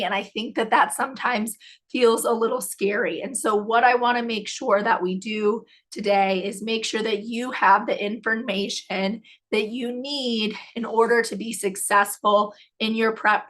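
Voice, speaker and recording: A woman's voice: fairly high pitch, fairly bright tone. Upbeat woman with a scratchy, fading voice. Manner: upbeat, reassuring, filler-heavy. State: losing her voice, scratchy. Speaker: nursing education director hosting a webinar. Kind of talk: live webinar presentation.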